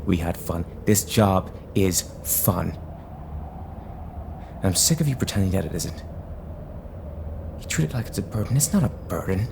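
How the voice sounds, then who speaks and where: A man's voice: deep voice, animated, a little slow, gravelly, pauses often, background noise, full character voice. A man playing a frustrated, idealistic younger hero in an audio drama, earnest and confrontational. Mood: hurt, frustrated.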